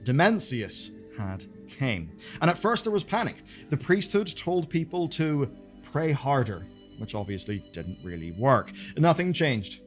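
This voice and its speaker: Animated male storyteller over music. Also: a little high. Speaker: presenter of a video game lore channel. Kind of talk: dramatic scripted storytelling narration.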